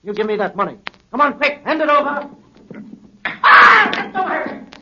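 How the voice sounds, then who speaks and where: A man's voice: very high-pitched, wildly swooping pitch, moderate pace, vocal fry, heavy background noise, full character voice. An actor playing a cagey, greedy swindler in 1930s radio drama style, brusque and sneering in his delivery. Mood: menacing. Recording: muffled 1938 radio broadcast.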